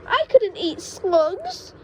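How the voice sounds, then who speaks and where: A woman's voice: very animated, very slow, darkish tone, exaggerated voice. A woman voicing a doubtful eight-year-old boy in a storybook, pleading and protesting. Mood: dismayed. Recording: noisy low-quality home microphone recording.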